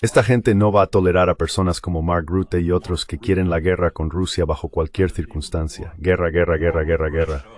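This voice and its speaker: A man's voice: low voice, steady tone, brisk, few pauses, a little mellow. A man giving blunt political commentary as an interview guest, leaning on emphatic repetition.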